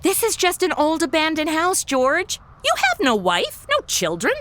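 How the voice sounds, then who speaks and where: A woman's voice: high voice, wildly swooping pitch, normal speed, background noise. A woman playing a brash, flippant young angel trainee with sassy modern slang in an audio comedy. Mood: flippant, wry. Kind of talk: comedy audio drama acting.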